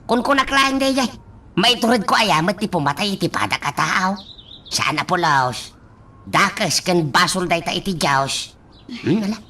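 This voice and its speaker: A gentle, God-fearing old father, as an actress voices him with sighing exclamations and soft reassurances in a radio drama. The voice sits very low with lively swings in pitch. It sounds a little far from the mic. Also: unhurried, heavily acted. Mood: reassuring. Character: gentle aging father, kind and devout.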